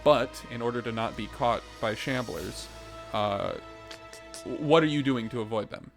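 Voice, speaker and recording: Creaky-voiced man running a tabletop game. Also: mid-range voice, measured pace, soft background music. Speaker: game master of a podcast tabletop game. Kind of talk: improvised game narration and rules talk.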